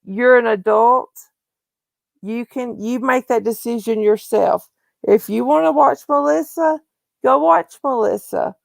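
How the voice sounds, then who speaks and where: A woman's voice: on the high side, a little slow, creaky, no echo. A woman hosting a casual livestream, chatty and often restarting her phrases. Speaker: livestream host.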